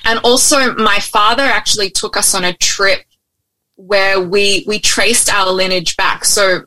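A woman's voice: medium pitch, fairly even intonation, unhurried, pushed and bright, no echo, low-quality recording. A young adult woman from Australia with an Australian accent tinged with American, chatting openly as an interview guest with ums and restarts. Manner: open, friendly, with frequent ums and restarts. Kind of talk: casual interview conversation. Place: at home in Hawaii, remote call.

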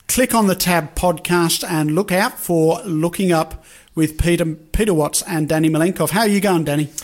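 Radio host, higher voice. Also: male voice, normal speed. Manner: relaxed, rambling with fillers.

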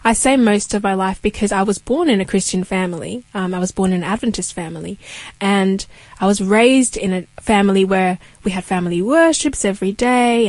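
A woman's voice: medium pitch, darkish tone, dry recording. A young woman from Kenya sharing her faith story as an interview guest, earnest and reflective.

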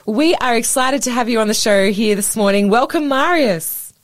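A woman's voice: on the high side, moderate pace, no echo. A woman co-hosting a studio radio interview, bubbly and chatty. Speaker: radio co-host.

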